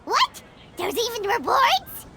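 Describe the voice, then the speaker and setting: A woman voicing a tiny, excitable, childlike fairy companion with a squeaky voice in a video game. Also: takes it slowly, vocal fry, slight background noise, full character voice. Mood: excited. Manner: excitable, refers to herself in third person.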